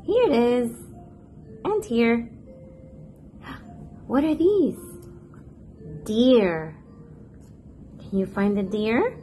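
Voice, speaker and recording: A woman reading a picture book aloud to toddlers in a playful, expressive, sing-song manner. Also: a little high, extremely slow, long pauses, slight background noise. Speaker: children's picture book narrator, host.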